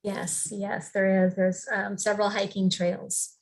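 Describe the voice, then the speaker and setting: A woman with a mid-range voice gives informal announcements about events. She is friendly and speaks haltingly, in short pieces, like a venue representative addressing guests. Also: mid-range voice, level tone, creaky, rough recording.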